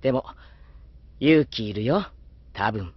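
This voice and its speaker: An actor playing a gentle, easygoing young man with a soft voice in a Japanese anime audio drama. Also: mid-range voice, animated, takes it slowly. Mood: mild, easygoing. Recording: dull lo-fi audio, faint music.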